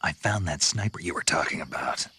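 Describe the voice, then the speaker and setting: An actor playing a confident male soldier in a video game, gruff, terse and matter-of-fact. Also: very deep voice, lively intonation, a little fast, noisy background. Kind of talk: dramatic video game voice acting.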